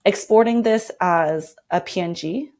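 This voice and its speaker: A woman's voice: fairly low pitch, a little slow, a little mellow. A woman giving a casual screen-share walkthrough as a guest designer, informal and thinking aloud as she goes. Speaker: guest product designer demonstrating a workflow.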